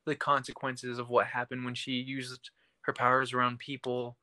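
Young man, bright forward voice, casual podcast co-host, conversational, very dry sound. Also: mid-range voice, completely flat delivery, normal speed, no room sound. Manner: informal, conversational. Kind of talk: casual TV discussion.

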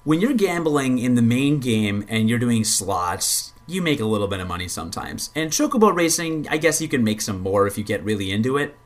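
A man's voice: medium pitch, big pitch swings, brisk, few pauses. A man co-hosting a casual gaming podcast, rambling and stammering with repeated words, and swearing when annoyed. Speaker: podcast co-host.

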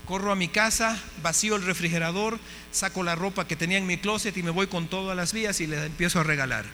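A man's voice: high voice, fast, pushed and bright, long reverb tail, soft background music. A man preaching a testimony sermon, impassioned and often asking his listeners questions. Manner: impassioned, asks the audience questions. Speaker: missionary preacher.

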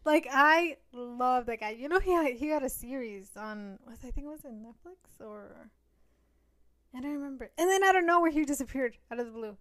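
A woman co-hosting a podcast in casual, slangy chat with animated reactions. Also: sits high, normal speed, dry recording, studio quality. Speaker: podcast co-host.

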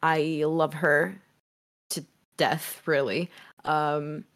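A young woman musician speaking loudly in a casual interview, rambling with fillers. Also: deep voice, flat delivery, extremely slow. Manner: loud, strong voice. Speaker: musician guest.

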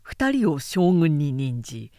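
A woman voicing a cautious male military adviser in a historical audiobook, speaking gravely, formally and deliberately. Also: low voice, sing-song, warm tone, no room sound, studio quality, pitched below the performer's own voice, full character voice. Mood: grave counsel.

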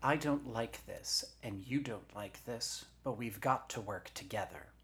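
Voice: mid-range voice, a little slow, a bit bright, voice pushed up. A storyteller voicing a tough, bossy woman driver who talks in curt, sneering commands. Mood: grim.

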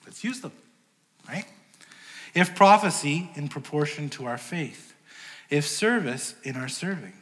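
A man's voice: on the high side, expressive, measured pace, pauses often, fairly bright tone. A man preaching a sermon to his church in a conversational way, rising and dropping in volume. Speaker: pastor. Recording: long hall reverb.

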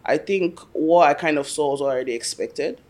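A man's voice: a little high, moderate pace, a little mellow. A young man who grew up in Nigeria, talking earnestly and reflectively in a casual chat. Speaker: podcast guest.

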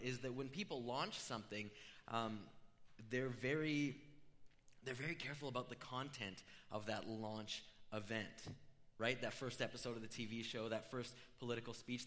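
A man gives an informal, conversational sermon in a forceful, bright voice that is slightly rough from being under the weather. He explains things step by step like a storyteller, and his words ring through a large reverberant hall. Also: medium pitch. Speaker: pastor preaching a sermon. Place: large room, amplified before a congregation.

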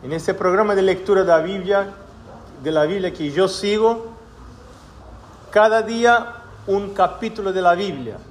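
Slow-spoken Brazilian pastor, high voice. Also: male voice, slight background noise. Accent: Brazilian Portuguese. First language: Portuguese. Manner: slow, measured, with hesitant fillers. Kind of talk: sermon. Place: church.

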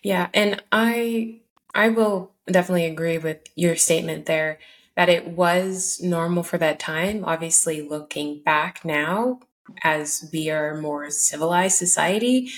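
A woman from Cameroon hosting a podcast interview, speaking in a measured, thoughtful way with frequent pauses. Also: on the low side. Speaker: podcast host. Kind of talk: casual conversational interview.

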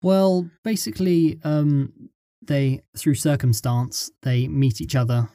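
A man answering interview questions as a scriptwriter guest, halting as he pieces his phrases together. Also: on the high side, fairly even intonation, mellow, dry recording, clean studio sound. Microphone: close studio microphone.

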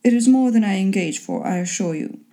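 A woman voicing a dry, teasing middle-aged husband and father in a storybook reading, with a deadpan, sardonic manner. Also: mid-range voice, fairly quick, lightly acted. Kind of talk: storybook character voice in an audiobook reading.

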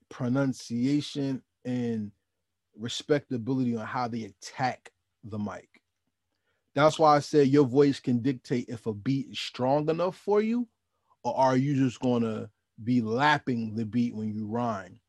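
A man's voice: medium pitch, a little slow, darkish tone, no echo, clean studio sound. A man hosting a podcast, asking questions and musing on the history of the music in a reflective, lecturing way. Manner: reflective and lecturing, with repeated restarts. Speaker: podcast host. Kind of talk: interview questions and commentary.

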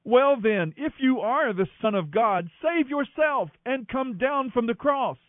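A man voicing an angry, jeering mob with mocking, drawn-out yells in a scripture story. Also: very high voice, animated, no room sound, pitched above the performer's own voice. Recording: thin narrow-band radio-like sound.

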